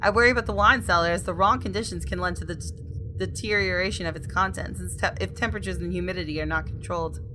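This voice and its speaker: A woman gaming host reading visual novel narration aloud in an expressive, storytelling way with casual asides. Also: on the low side, fast talker, slight background noise, poor audio.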